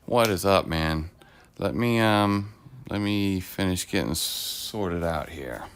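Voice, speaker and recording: A middle-aged man hosting a casual livestream from his home table, rambling and self-deprecating, his voice deepened while getting over an illness, recorded on a phone. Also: slow pace, slight background noise. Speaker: streamer host. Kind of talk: casual livestream chat while painting miniatures. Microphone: phone on a clamp arm.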